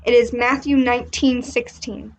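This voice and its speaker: Quick, chatty young woman storyteller at home. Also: a little high, fairly even intonation. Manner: quick, chatty, puts on a pompous rich man voice. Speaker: children's ministry teacher. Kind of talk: casual storytelling to kids. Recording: dull lo-fi sound.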